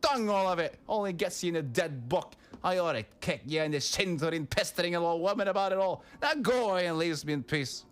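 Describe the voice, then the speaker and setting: A man's voice: sits high, animated, quick pace, few pauses, clear, resonant tone, pitched above the performer's own voice. A man voicing a grumpy, scornful little old woman who scolds in a rustic dialect while playing a game. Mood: scornful, irritated.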